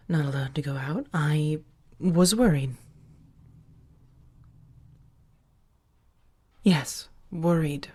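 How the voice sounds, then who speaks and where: Casting: woman to play protective female vampire roommate, low-pitched, soft and breathy, halting and earnest, intimate ASMR roleplay. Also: full character voice. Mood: worried, reproachful. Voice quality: soft, breathy, often dropping to a whisper.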